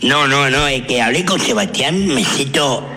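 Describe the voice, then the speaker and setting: Bright-voiced Argentine man, boastful. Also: medium pitch, measured pace. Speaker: guest in a stream clip. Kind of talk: excited casual chat.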